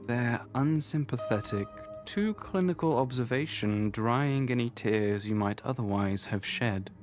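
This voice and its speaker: A man performing solemn, deliberate second-person narration for a dark fantasy visual novel. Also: medium pitch, soft background music, close to an everyday voice. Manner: deliberate, literary.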